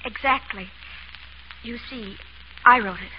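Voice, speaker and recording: An actress playing an anxious, earnest society wife who pleads formally, in a 1930s radio melodrama. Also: female voice, fairly high pitch, a little slow, close to an everyday voice. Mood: anxious, pleading. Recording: muffled, hissy 1930s radio recording.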